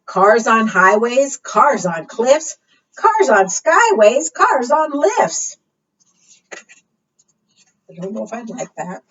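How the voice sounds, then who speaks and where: A woman's voice: fairly high pitch, very animated, takes it slowly, long pauses, a bit bright. A woman leading a preschool storytime, reading rhymes aloud and putting questions to small children in a sing-song way. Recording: muffled, distant microphone.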